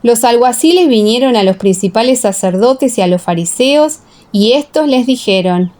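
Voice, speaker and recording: A woman reading a dramatized Bible audiobook as narrator, in a solemn, measured storytelling manner. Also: on the high side, animated, warm tone. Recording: echoing, long reverb.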